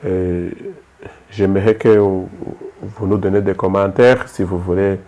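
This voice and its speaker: A Rwandan-accented man hosts an interview, pausing often and filling gaps with hesitations. His voice sits low and sounds mellow. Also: fairly even intonation. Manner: hesitant, many fillers. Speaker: host.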